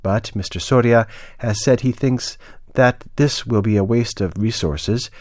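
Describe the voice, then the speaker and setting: A man's voice: mid-range voice, measured pace, darkish tone, no echo, studio quality. A man reading scripted international news reports as a radio newsreader.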